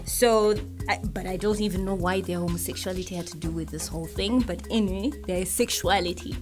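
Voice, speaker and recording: A woman's voice: lowish voice, fairly even intonation, brisk. A woman hosting a casual entertainment discussion in a conversational, opinionated way. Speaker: talk show host. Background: music playing behind the voice.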